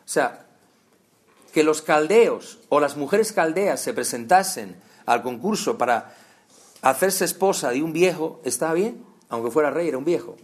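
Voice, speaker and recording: A man's voice: fairly high pitch, expressive, moderate pace. A man from preaching a conversational sermon, talking straight to his listeners with rhetorical questions.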